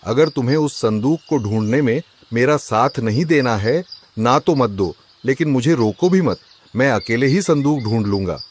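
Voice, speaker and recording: A man playing an agitated, suspicious rich merchant husband in a cartoon, loud and complaining. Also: medium pitch, expressive, normal speed, slightly rough. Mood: indignant. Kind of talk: Hindi cartoon story voice acting.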